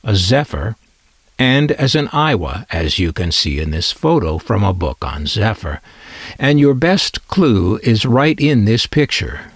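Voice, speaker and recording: Low American man with lively, swooping delivery. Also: dry recording, studio quality. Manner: wry, musing, rhetorical asides. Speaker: radio collector presenting his collection. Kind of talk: scripted narration, conversational.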